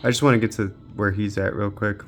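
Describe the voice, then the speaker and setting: A man's voice: lowish voice, steady tone, quick pace. A man giving casual gameplay commentary as a handheld gaming reviewer, informally thinking aloud as he tests. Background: video game music.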